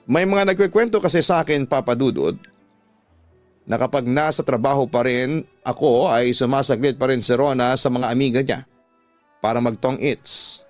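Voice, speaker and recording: A Filipino man narrates a dramatic radio story in the first person. His medium-pitched voice is recorded very dry, with music underneath. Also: normal speed, dry recording. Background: background music.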